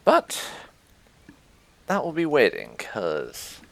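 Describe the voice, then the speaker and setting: A man's voice: mid-range voice, huge pitch swings, very slow, vocal fry. A man hosting a gaming playthrough, giving casual commentary with light roleplay. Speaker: gaming video host.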